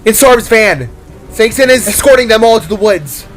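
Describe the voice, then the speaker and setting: A fierce heroine acted by a man exclaims in a raised, forceful voice. She is confident and protective, a fiery fighter in an audio story with music underneath. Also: high-pitched, animated, moderate pace, voice pushed up, low-quality recording. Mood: urgent.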